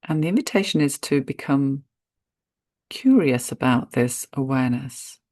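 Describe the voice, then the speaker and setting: A woman guiding a meditation in a gentle, unhurried manner, speaking in short phrases with spacious pauses. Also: extremely low pitch, animated, no room sound, clean studio sound. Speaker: meditation guide. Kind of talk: guided meditation narration.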